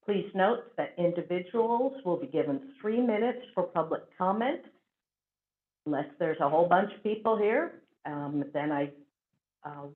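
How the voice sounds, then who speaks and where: Lady chairing a board meeting, lots of ums, sounds like a phone line. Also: medium pitch. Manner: procedural, with frequent fillers. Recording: tinny phone-like audio.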